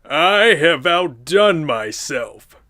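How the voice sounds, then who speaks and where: A man voicing a scheming tiny cartoon villain who boasts slowly and grandly. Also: a little high, sing-song, sharp tone, pitched above the performer's own voice, heavily acted. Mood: gloating.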